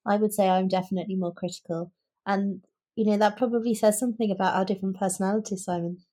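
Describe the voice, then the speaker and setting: A British woman with a medium-pitched voice co-hosts a book podcast. She talks casually and conversationally, with clear opinions. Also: fairly even intonation, fast talker, dark tone. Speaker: podcast co-host.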